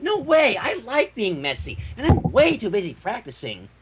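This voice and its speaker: A man voicing a brash, boastful cartoon pegasus mare with raspy tones, in a story reading. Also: extremely high pitch, wildly swooping pitch, normal speed, noisy background, pitched above the performer's own voice, full character voice.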